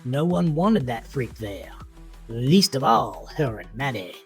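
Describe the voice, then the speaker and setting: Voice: mid-range voice, sing-song, takes it slowly. A narrator reading a scripted horror story in a dramatic, suspenseful manner. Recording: music bed under narration.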